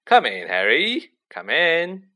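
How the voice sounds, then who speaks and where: A vain celebrity wizard from a storybook, acted by a man. He is pompous and effusive, with a bright, forceful voice that swoops and lingers on words he enjoys. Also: fairly high pitch, wildly swooping pitch, pitched above the performer's own voice, heavily acted. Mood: self-satisfied, boastful. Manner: pompous, effusive, drawn-out emphasis. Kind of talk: audiobook character acting.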